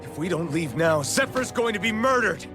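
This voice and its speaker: An actor playing an impetuous, determined young fighter in a video game cutscene, urgent and commanding. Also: male voice, high voice, animated, normal speed. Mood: urgent, frantic. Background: loud music.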